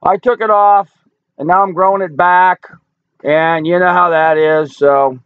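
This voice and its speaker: Older male live-stream host, hoarse and folksy, dry lo-fi sound, slightly high voice. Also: steady tone, unhurried, dry recording. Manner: chatty, greets viewers by name, folksy. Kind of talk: casual live chat reading viewer comments. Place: home in rural Alaska.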